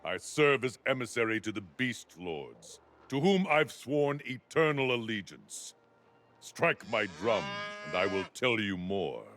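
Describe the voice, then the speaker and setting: A man playing a huge, proud, ancient Minotaur in a video game, declaiming slowly and grandly with a gravelly voice. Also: fairly low pitch, very animated, fairly bright tone, slight background noise. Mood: proud, solemn. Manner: grandiose, slow theatrical declamation. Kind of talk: video game character dialogue. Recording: game music under the voice.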